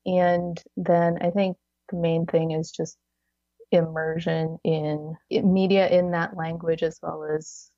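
Woman in her thirties, gravelly fry, lowish voice, casual chatty guest, dull dry recording. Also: a little mellow, dry recording. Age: 30s. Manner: chatty, many "like"s, laughs between phrases. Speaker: podcast guest. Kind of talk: casual conversational interview.

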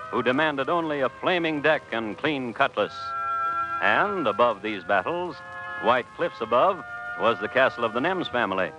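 An actor playing a droll, solemn crime connoisseur, narrating a radio show in a dry, deliberate manner. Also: male voice, medium pitch, lightly acted. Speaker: host and narrator. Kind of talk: 1950s radio scripted narration. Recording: dull vintage radio recording. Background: music.

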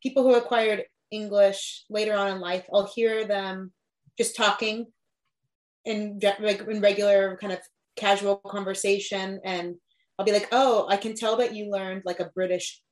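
A woman's voice: medium pitch, fairly even intonation, normal speed, poor audio. A woman in casual conversation, speaking in a reflective, loose way with frequent fillers. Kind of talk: casual discussion of language learning.

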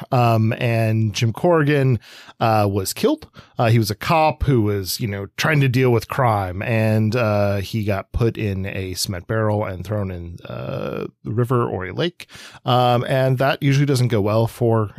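A man's voice: medium pitch, dry recording, clean studio sound. A man co-hosting a podcast, explaining things in a casual, rambling way with an offhand, wry touch. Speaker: podcast co-host. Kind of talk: casual explanatory comics discussion.

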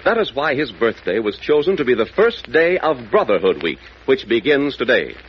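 Earnest, animated vintage radio host, a man. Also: mid-range voice, normal speed. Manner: earnest, moralizing, emphatic. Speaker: radio show host addressing young listeners. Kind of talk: scripted 1940s radio address. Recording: muffled, noisy old radio recording.

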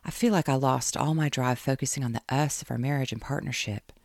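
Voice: medium pitch, brisk, vocal fry, no room sound, clean studio sound, close to an everyday voice. A reader voicing a lost, doubting woman writer. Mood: weary.

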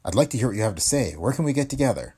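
A man's voice: medium pitch, very animated, rapid-fire, warm tone, slightly rough, pitched above the performer's own voice. A man voicing a poised, alert young woman reporter who gives short, polite replies. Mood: eager.

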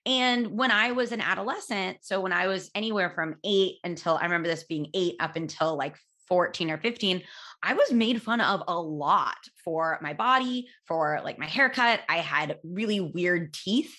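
A woman in her thirties speaks in a low, loud voice as she hosts her own podcast. She tells a personal story candidly and casually. She pauses on fillers and restarts her sentences as she goes. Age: 30s. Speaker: podcast host.

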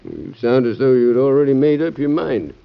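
An actor playing an urgent, cautioning Secretary of State in classic radio drama style, emphatic, formal and argumentative. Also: male voice, medium pitch, expressive, normal speed, gravelly, clear, resonant tone. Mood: insistent. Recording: dull band-limited old radio recording.

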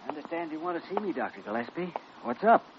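A man acting an earnest, principled young doctor in an old-time radio drama, measured, firm and sincere. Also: fairly high pitch, very animated, over loud music. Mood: easy, frank. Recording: muffled, noisy vintage radio recording.

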